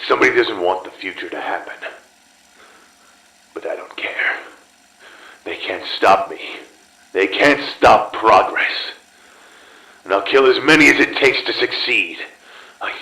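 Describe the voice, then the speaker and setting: A slow, tense male voice put on by an actor of an obsessive, murderous scientist coming undone. He speaks in halting, brooding bursts, recorded like an echoey horror game tape. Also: lowish voice, expressive, pushed and bright, heavily acted. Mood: paranoid, defiant. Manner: halting, intense, brooding monologue. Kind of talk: scripted horror game audio log.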